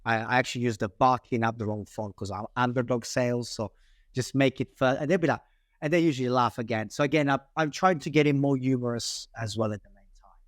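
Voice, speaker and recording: A man with an Italian accent talks through cold-calling tactics in a casual interview. He is animated and quick, often restarting his sentences as he goes. His voice is mid-pitched and sits dry and close to the mic. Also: dry recording. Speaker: sales guest.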